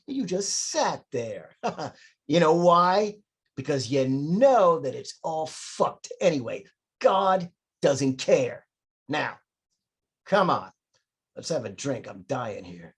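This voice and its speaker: A man performs a priest wrestling his bitter demonic side in a film script read. The voice is husky and swings widely in pitch. His delivery is dramatic and unhurried. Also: very animated, exaggerated voice. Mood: taunting, bitter. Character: male priest and his taunting, bitter demonic alter ego. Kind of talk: screenplay table read.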